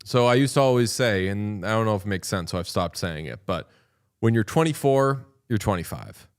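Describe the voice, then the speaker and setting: Wry thirty-something man, smooth voice. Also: fairly low pitch, lively intonation, a little fast. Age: 30s. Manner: wry, rambling storyteller who swears. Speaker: podcast co-host. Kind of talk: casual watchalong chat.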